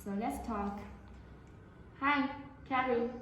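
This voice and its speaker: A woman giving a product demonstration to camera in halting, non-native English phrasing. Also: fairly high pitch, fairly bright tone. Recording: very echoey room, faint noise.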